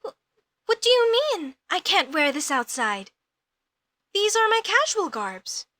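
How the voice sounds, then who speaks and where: A young elf mage girl, acted by a woman, speaks in a high, bright voice at an unhurried pace. She is formal and stilted, full of questions and quick to get indignant or flustered, as in a comedic audio roleplay. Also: very animated, no room sound, clean studio sound, heavily acted.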